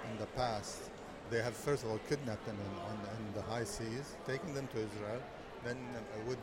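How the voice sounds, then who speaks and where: Interviewee, male, mid-pitched, earnest and halting, recorded outside over loud street traffic. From Nazareth. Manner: earnest, halting with many fillers and restarts. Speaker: interviewee, activist.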